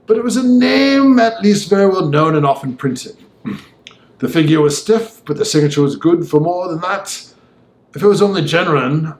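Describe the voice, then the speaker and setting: Guy acting as old-time gentleman telling a grim story, vivid and emphatic, audiobook style, echoey. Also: on the high side. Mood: matter-of-fact, wry. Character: gentleman recounting a disturbing night, indignant and vivid. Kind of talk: audiobook dialogue monologue.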